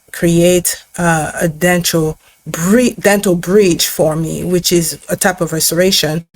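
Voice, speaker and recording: Middle-aged woman dentist from congo, kinda low voice, stops and restarts a lot, dry close sound. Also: a little mellow, no room sound. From Democratic Republic of Congo. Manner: thoughtful, halting, many fillers and restarts. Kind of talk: personal story to camera.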